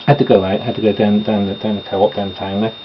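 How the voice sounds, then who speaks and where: A man's voice: fairly low pitch, little pitch movement, fast talker. A man chatting casually and meanderingly at home while doing his makeup, with self-deprecating asides. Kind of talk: rambling casual chat. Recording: dull lo-fi home recording with noise.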